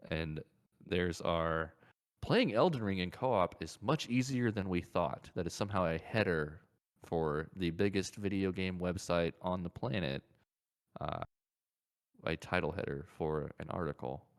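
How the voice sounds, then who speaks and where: Low-voiced man in casual, hesitant chat. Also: measured pace, clean tone. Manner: hesitant, repeats words while thinking aloud. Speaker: podcast co-host. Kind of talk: casual gaming podcast chat.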